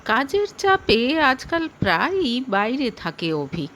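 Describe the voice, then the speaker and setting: Lady reading an audio story, really high voice, slow and dramatic, bit of noise behind. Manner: expressive, acts out dialogue. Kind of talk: dramatic scripted story narration.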